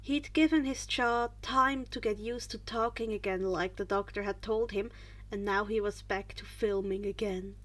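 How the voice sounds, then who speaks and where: A woman reading fan fiction aloud as an expressive story narrator. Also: mid-range voice, normal speed.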